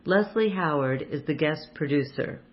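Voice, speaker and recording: A woman's voice: deep voice, normal speed. Woman hosting, in dull lo-fi sound. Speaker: radio show host. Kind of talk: scripted introduction to classic radio.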